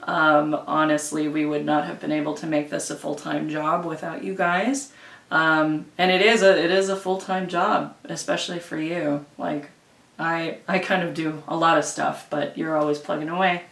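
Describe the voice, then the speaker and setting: A woman's voice: low voice, steady tone, normal speed, gravelly, a bit bright. A woman giving a casual, conversational update to supporters as a co-host. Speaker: co-host and channel manager. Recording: echoey room, distant from mic.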